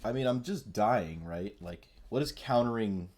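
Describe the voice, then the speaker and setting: A man's voice: lowish voice, lively intonation, normal speed, a little mellow, a little hiss or hum. Congested man rambling over a card game. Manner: mumbling, rambling, self-deprecating. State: nasal from a cold. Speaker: streamer. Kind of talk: casual gameplay commentary.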